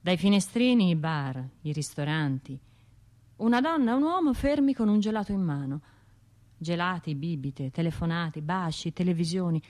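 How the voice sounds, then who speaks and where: A woman's voice: fairly low pitch, expressive, moderate pace, darkish tone, natural voice. An actress playing a bitter, sardonic, grieving woman with a Tuscan accent in a colloquial radio drama monologue. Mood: tense, irritated. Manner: colloquial, sardonic, acting out other people's remarks. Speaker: stage and radio actor.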